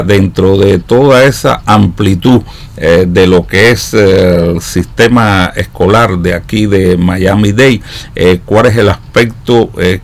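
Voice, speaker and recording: Low-voiced man, halting interviewer. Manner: halting, long-winded, wordy questions. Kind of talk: formal interview questioning.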